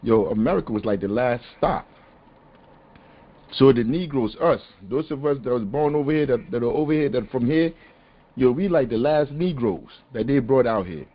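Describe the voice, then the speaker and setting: A man hosting a podcast, talking casually and with animated emphasis. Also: mid-range voice, moderate pace. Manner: animated, conversational, rising to exasperated emphasis. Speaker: podcast host. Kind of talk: casual discussion on a call-in podcast. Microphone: phone or conference call. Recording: dull lo-fi call audio.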